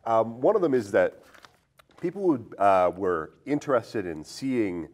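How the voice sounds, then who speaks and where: Lower-voiced man hosting a film discussion. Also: sing-song, unhurried, a little mellow. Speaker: podcast host.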